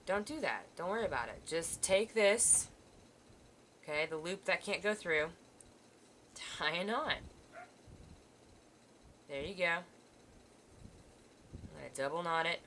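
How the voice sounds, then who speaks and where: A woman teacher walks students through sewing a seam while she works at a table under an overhead camera. Her voice is bright and sits a little low, and her manner stays patient and reassuring. A faint hiss runs under the recording. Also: expressive, measured pace, long pauses. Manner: patient, reassuring, talks while working hands-on. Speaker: art teacher. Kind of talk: step-by-step sewing demonstration.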